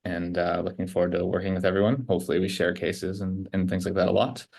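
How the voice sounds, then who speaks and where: A man's voice: low voice, flat delivery, fast talker, gravelly, darkish tone. A man casually introducing himself to a group as a course participant. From Canada.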